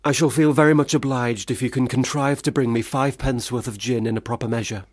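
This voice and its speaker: A male actor plays a young gentleman writer gone to seed, in a period radio drama. He speaks in ornate, pompous phrases with wounded self-regard. The sound is dry and close, at a middling pitch. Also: steady tone, fairly quick, no echo, close to an everyday voice. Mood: pompous, morose. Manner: formal, wordy, pompous period diction. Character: Branwell Bronte, failed, self-pitying, gin-drinking young gentleman writer. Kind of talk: radio play monologue and dialogue.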